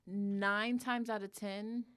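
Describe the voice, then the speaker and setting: A woman's voice: medium pitch, fairly even intonation, slow pace, fairly bright tone, clean tone. A woman in her forties co-hosting a podcast, talking in a blunt, emphatic way and laughing easily. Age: 40s. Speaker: podcast co-host. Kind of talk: casual chat.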